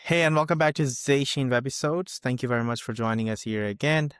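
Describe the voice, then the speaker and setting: A man's voice: medium pitch, a little fast, fairly bright tone, clear, resonant tone, no echo, studio quality. A man hosting an interview podcast, speaking in an upbeat way with frequent laughter and small verbal slips, over a video call. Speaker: podcast host. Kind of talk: casual interview conversation.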